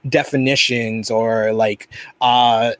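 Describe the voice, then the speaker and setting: A man with a New York accent co-hosting a review podcast, recapping in a loose, rambling way full of fillers. His voice sits at an ordinary middle pitch. It is recorded close and dry, with no room sound. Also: little pitch movement, slow, no echo. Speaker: podcast co-host. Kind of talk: casual review chat.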